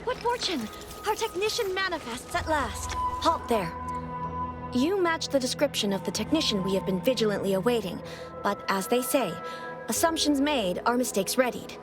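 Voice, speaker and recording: An imperious, pompous game official, her high voice swooping theatrically through florid, formal phrases. She commands grandly, with loud music behind her. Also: big pitch swings, moderate pace. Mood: exultant. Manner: pompous, commanding, ornate diction. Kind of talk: theatrical video game acting.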